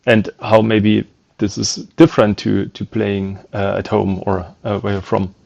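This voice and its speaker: A man hosting a podcast, speaking in a halting way with many fillers. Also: on the low side, level tone, creaky, darkish tone, dry recording, lo-fi sound. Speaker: podcast host.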